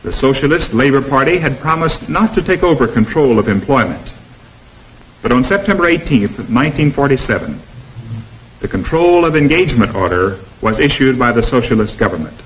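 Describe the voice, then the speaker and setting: A man delivers 1950s documentary narration. His pitch rises and falls expressively as he stresses each item in a list, and his delivery is measured and emphatic. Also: expressive, slight background noise, rough recording.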